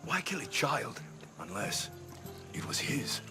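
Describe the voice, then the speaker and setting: An actor playing a rugged, confident mercenary in a video game, blunt and wry. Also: male voice, mid-range voice, sing-song, slow pace, loud music behind the voice. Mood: intent, serious. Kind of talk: video game cutscene acting.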